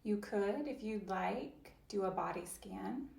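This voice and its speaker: A woman voicing an interpreted guided chair yoga session, speaking slowly and gently, with long pauses and soft invitations. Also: mid-range voice, vocal fry, reverberant.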